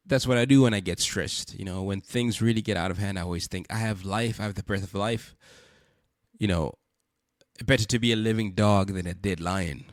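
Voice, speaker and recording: Congested male podcast host, lower voice, earnest and preachy, dry booth sound. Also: a little fast, dry recording. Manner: earnest, preachy, repeats rhetorical questions. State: congested from a cold. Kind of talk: reflective spoken monologue.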